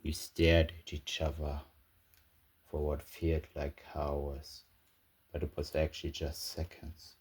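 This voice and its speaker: A grim, battle-shaken soldier played by a man records a sci-fi audio log in an extremely deep, husky voice. He speaks very slowly and haltingly, in short broken phrases with heavy pauses between them. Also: completely flat delivery, a little mellow, exaggerated voice. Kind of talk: scripted first-person audio log narration, amateur sci-fi roleplay.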